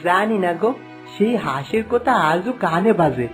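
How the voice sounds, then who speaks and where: Man voicing an expressive, protective young husband. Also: high voice, moderate pace, faint music behind. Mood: troubled, reassuring. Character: protective young husband, earnest loyal son. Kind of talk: animated folk story dialogue.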